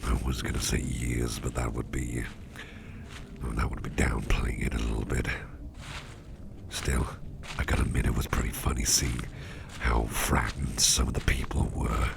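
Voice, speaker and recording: A man voicing a mischievous boss with sharp teeth in an ASMR roleplay, talking in a soft, teasing half-whisper. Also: very deep voice, level tone, moderate pace, gravelly, pauses often, very noisy, full character voice. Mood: playful.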